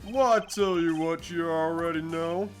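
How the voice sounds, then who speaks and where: A man's voice: fairly high pitch, a little slow, faint music behind, lightly acted. A man voicing a pompous, smug company president who mangles big words in an amateur game fandub. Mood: smug, condescending. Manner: pompous, affected, stretching out invented fancy words.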